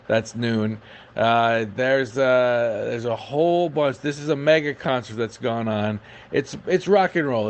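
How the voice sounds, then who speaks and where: A man giving an informal podcast report on local events, chatty and enthusiastic, with frequent hesitations. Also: medium pitch.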